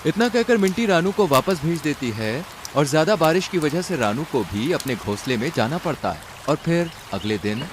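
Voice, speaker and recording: A man's voice: on the high side, animated, moderate pace, hardly any pauses. A man narrating a cartoon story in a dramatic scripted style. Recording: rain and outdoor noise behind.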